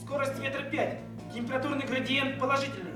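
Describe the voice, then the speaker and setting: A dutiful young soldier on the radio set, heard from far off in heavy echo. His high voice gives clipped, brisk military reports in a radio drama, music behind. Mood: brisk, alert. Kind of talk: Soviet radio play acting. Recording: echoing, distant, music behind.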